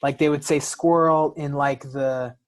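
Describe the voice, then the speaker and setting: A man's voice: fairly high pitch, fairly even intonation, a little slow. A man co-hosting a podcast, speaking reflectively in casual conversation, with frequent restarts and repeated words. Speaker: podcast co-host.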